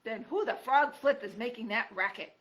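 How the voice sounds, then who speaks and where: A woman's voice: a little high, moderate pace, fairly bright tone, natural voice. A woman voicing a grumpy old male porcupine who bellows and screeches insults in a storybook reading. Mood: gruff scornful.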